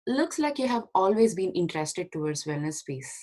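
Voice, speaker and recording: A woman's voice: fairly low pitch, big pitch swings, moderate pace, mellow. A woman hosting a video interview who introduces her guest politely and answers with short encouraging reactions. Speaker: interview host.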